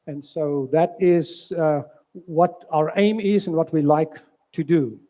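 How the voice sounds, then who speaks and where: A man in his sixties from South Africa with a South African accent, a physician giving a halting health talk at a church, with many fillers. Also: a little high, mellow. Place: church hall.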